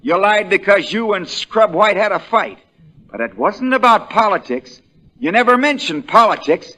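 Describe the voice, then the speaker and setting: An actor playing a shrewd, folksy American country lawyer, relentless in questioning, in the style of 1940s radio drama. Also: male voice, high-pitched, expressive, moderate pace, bright, forceful tone. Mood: fierce, accusing. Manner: drawling folksy understatement that builds into hammering accusation.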